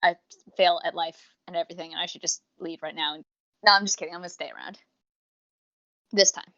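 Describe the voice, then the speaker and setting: Chatty, lower-voiced woman, slightly muffled. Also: steady tone, brisk, vocal fry, bright, forceful tone, dry recording. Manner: chatty, effusive, rambling with tangents. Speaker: podcast co-host reviewing audio dramas. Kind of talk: casual unscripted review chat.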